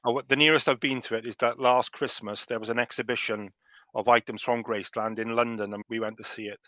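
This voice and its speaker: A man's voice: medium pitch, level tone, quick pace, fairly bright tone, no echo, dull audio. A man in his fifties with a Welsh accent, chatting as a guest on a call and telling stories with chuckles and restarts. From South Wales. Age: 50s. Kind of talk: casual remote interview.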